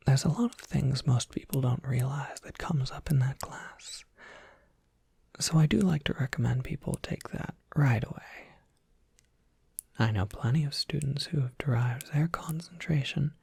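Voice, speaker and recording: Asmr performer doing a kindly wry college professor, all whispery and breathy, slow talking, close dry mic. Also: mid-range voice, no echo, heavily acted. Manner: unhurried, helpful, gently wry. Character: kindly, wry tenured professor at a monster academy. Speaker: professor and academic advisor. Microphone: close microphone, dry.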